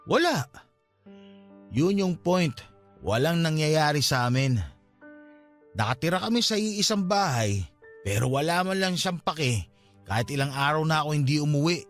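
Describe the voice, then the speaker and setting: An actor with a Filipino accent playing a wounded, drunken young boyfriend in a Tagalog radio drama, pleading and pushy. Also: male voice, fairly high pitch, animated, moderate pace, pauses often, fairly bright tone. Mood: bitter, hurt. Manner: pleading, insistent. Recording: dramatic music bed behind the voice.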